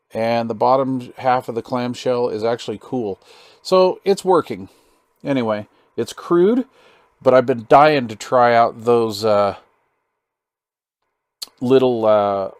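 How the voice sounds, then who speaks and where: A man with a mid-pitched voice presents a hands-on hobby demonstration in a casual, unscripted way. His delivery is halting and easygoing, with folksy phrasing and a habit of muttering to himself. The sound is dry, with little room echo. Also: a little mellow.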